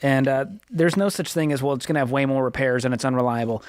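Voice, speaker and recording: A man's voice: mid-range voice, fast, a little hiss or hum. A man co-hosting a radio talk show, reading listener comments and chatting in a jokey, upbeat way. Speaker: co-host.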